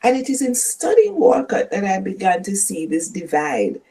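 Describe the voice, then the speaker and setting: An older woman from Jamaica with a Jamaican accent, speaking as a guest professor in a measured, storytelling way that leans on key words. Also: mid-range voice, very animated, mellow. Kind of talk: reflective interview conversation.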